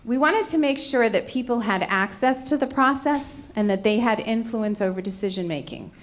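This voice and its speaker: A woman's voice: fairly high pitch, moderate pace. A middle-aged woman from Rhode Island, speaking with a Rhode Island accent, gives a conference presentation and explains her slides one by one. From Rhode Island, USA. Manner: explanatory, walking through slides. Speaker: conference panelist.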